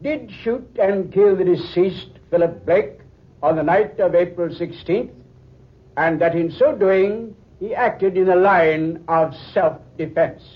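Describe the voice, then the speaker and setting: A man's voice: high-pitched, slow. A man acting in a 1940s radio drama as a judge, solemn, formal and official. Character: solemn, official male judge reading a verdict. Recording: muffled 1940s radio recording.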